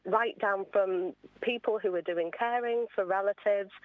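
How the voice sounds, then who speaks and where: A woman's voice: medium pitch, dry recording, phone-quality sound. A woman being interviewed on radio as an awards founder, explaining things earnestly in a conversational way.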